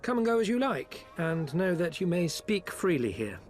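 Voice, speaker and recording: Foppish trade envoy: theatrical, high-ish, animated delivery, music underneath. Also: male voice, normal speed. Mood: explaining. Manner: theatrical, flowery and ingratiating. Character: smooth-talking foppish male merchant agent. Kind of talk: video game cutscene. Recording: with background music.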